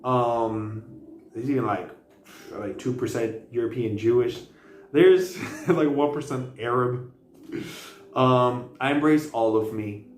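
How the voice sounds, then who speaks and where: A man's voice: mid-range voice, takes it slowly. A man hosting a casual history vlog, talking in a loose, slangy way with many fillers and restarts. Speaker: video host. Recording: roomy echo with faint background noise.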